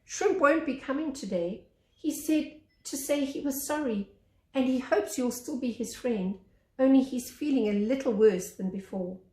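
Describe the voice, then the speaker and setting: A woman's voice: sits high, unhurried, a little mellow, echoey room, voice pushed up, low-quality recording. A woman voicing a small female bird, a loyal storybook messenger with a chirping, matter-of-fact manner. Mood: apologetic. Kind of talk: children's storybook character voice.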